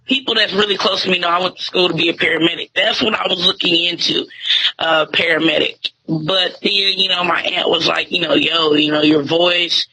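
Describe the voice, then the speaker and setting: A woman's voice: lowish voice, steady tone, moderate pace, bright, forceful tone, breathy edge, low-quality recording. A young woman, talking in a laid-back, slangy way as a radio host being interviewed. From Tyler, Texas. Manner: laid-back slangy talk, many fillers. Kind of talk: casual interview chat.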